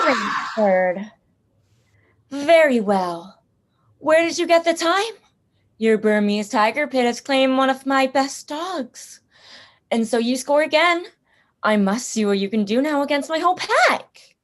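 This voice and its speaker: An actress playing a genteel, cold-blooded general who hunts for sport, speaking with theatrical, smug courtesy in a radio drama. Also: female voice, high-pitched, lively intonation, a little slow. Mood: gloating. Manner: theatrical, leisurely, smugly courteous.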